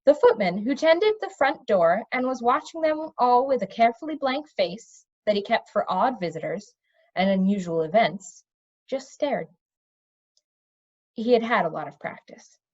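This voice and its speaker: A woman giving an expressive read-aloud narration of a children's fantasy story. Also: fairly high pitch, normal speed, lo-fi sound. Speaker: storybook reader.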